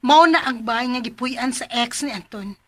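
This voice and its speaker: A woman's voice: on the high side, moderate pace, close to an everyday voice. A woman with a Cebuano Filipino accent playing a deceitful, insistent young plotter in a radio drama. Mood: scheming, impatient. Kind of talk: Philippine radio drama acting.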